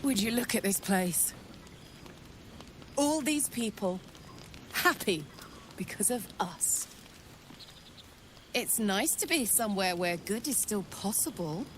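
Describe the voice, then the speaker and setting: A blunt, big-hearted warrior woman from a game. She talks at a slow, easy pace in a middle-pitched voice, earthy and heartfelt. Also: expressive, slight background noise. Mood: joyful, heartfelt. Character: hearty, blunt, warm-hearted female fighter escaped from hell. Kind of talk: video game character acting.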